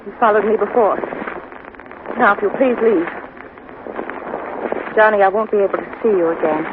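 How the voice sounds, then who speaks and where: A woman's voice: medium pitch, moderate pace, natural voice. Woman as a coy femme fatale on tinny radio. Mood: defensive. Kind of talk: 1950s radio drama acting. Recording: noisy, tinny old 1950 radio recording.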